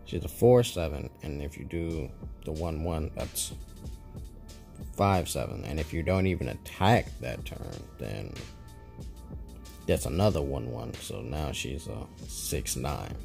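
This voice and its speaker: A man's voice: very deep voice, expressive, measured pace, gravelly, a little mellow, soft background music. A man casually talking through a card game for his channel, chatty and rambling, laughing at his own slips. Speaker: gaming YouTuber. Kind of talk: casual gameplay commentary.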